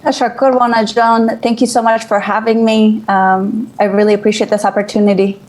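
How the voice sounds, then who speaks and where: A woman's voice: on the high side, level tone, fast talker, darkish tone, rough recording. A woman from Afghanistan answering interview questions, hesitant, with many fillers and restarts. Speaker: guest. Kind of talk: interview conversation.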